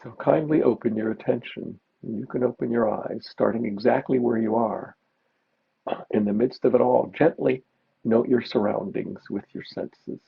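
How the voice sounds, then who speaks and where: A man teaching meditation, giving gentle, unhurried guided mindfulness instructions with pauses. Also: mid-range voice, vocal fry, husky. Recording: dull, muffled lo-fi recording.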